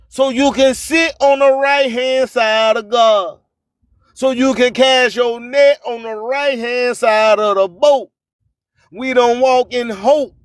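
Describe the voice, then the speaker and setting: A man's voice: very high voice, no room sound. A man, recorded dry and close. He takes his time, delivering a motivational radio monologue like a preacher, leaning on phrases and repeating them for emphasis. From US Gulf Coast. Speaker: radio host.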